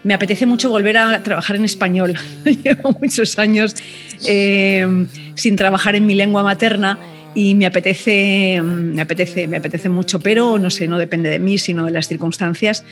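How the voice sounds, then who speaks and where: A middle-aged woman from Spain talks things through in a relaxed interview, reflective and unhurried, often restarting a phrase while she finds her words. She speaks as a seasoned news correspondent, at an even middle pitch, with soft music faintly under her voice. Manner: thoughtful, reflective, many restarts and fillers.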